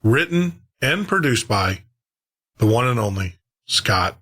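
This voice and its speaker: A man's voice: mid-range voice, very animated, slow, bright, forceful tone, slightly rough. A man hosting a podcast, talking casually and conversationally as he introduces old-time radio shows, often repeating and rephrasing himself. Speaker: podcast host.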